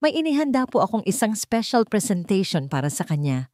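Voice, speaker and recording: A woman's voice: medium pitch, sing-song, a little fast, darkish tone, dry recording, clean studio sound, voice pushed up, lightly acted. Woman narrator as a cold, determined betrayed wife. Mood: polite, composed. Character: betrayed wealthy wife, coldly determined and composed.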